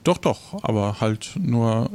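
A man's voice: mid-range voice, animated, takes it slowly, noisy background. A man improvising tabletop game narration as game master, searching for his words as he describes scenes.

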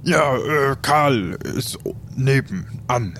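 A man voicing a slow, doting cat owner with a drawling, cooing manner in an audio drama. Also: mid-range voice, lively intonation, a bit bright, slight background noise. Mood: doting, sleepy.

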